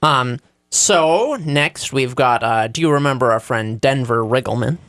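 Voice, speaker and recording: A man's voice: medium pitch, a little slow, fairly bright tone, no echo. Male radio co-host, mid register, wry casual news chat, dry studio-style sound.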